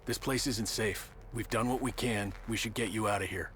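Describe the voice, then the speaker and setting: A steady male survivor from a video game, played by an actor. His voice is on the low side and his lines are terse, with faint noise behind him. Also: normal speed, lightly acted. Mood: concerned. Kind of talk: video game character acting.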